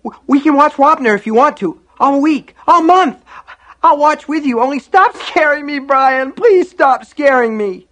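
Man acting out a high, whimpering, terrified little boy. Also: extremely slow, few pauses, bright, forceful tone, full character voice. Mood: desperate pleading. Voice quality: whimpery, tearful. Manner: babbling, pleading, crying out.

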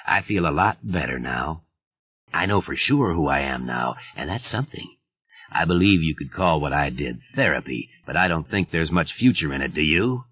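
A man voicing a menacing, mocking man who talks in a slow, low, easy drawl. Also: lively intonation, slightly rough, heavily acted. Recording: muffled lo-fi audio.

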